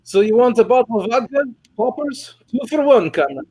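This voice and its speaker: A man's voice: very high-pitched, sing-song, brisk, mellow, slightly rough, exaggerated voice, low-quality recording. A man voicing a pushy, jolly breakdancer street hawker in a comic script.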